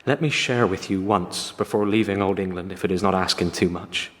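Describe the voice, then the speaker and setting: Young gamekeeper, earnest, yearning, servant's formality, radio drama, cavernous reverb. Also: male voice, low-pitched, steady tone, fairly quick, a bit bright. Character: earnest young servant gamekeeper voicing his own letter. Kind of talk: radio drama letter reading. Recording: long hall-like reverb on voice.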